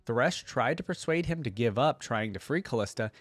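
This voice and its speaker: A man's voice: mid-range voice, expressive, normal speed, fairly bright tone, dry recording, studio quality. A man hosting a reaction video, reading a wiki aloud and adding casual asides in his own words.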